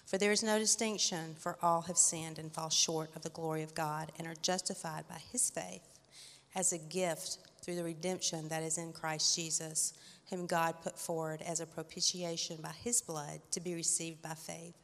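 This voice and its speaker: A woman giving a humble church testimony and reading scripture aloud, quiet with fillers. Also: low-pitched, level tone, moderate pace. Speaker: church member sharing a reflection. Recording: echoey hall.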